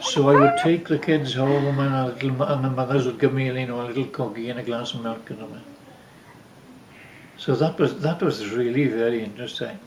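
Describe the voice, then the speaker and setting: An older man from Wales telling stories from his life casually, haltingly, repeating words as he recalls them. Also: medium pitch, fairly even intonation, noisy background. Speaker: father telling family stories. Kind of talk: casual storytelling, reminiscing. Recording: roomy, echoey home recording.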